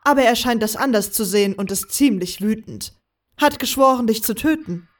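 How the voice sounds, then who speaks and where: High-voiced, breezy young woman acted by a woman. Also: normal speed, distant mic, a little hiss or hum, lightly acted. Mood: teasing, amused. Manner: breezy, teasing. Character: teasing, confident young woman, sneaky and carefree. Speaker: voice actor in a fan audio drama. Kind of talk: German fan audio drama acting.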